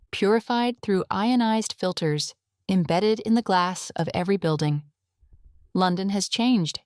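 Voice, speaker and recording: A woman narrating a scripted audio drama in a measured, atmospheric storytelling manner. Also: mid-range voice, darkish tone, clear, resonant tone, no echo, clean studio sound.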